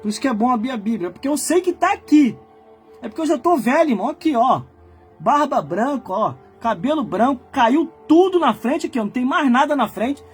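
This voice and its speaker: High-pitched preaching man, shouting. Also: animated, a little fast, husky, faint music behind. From Brazil. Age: 40s. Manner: swings from low muttering asides to high shouted preaching. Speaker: pastor. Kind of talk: fiery Pentecostal-style sermon.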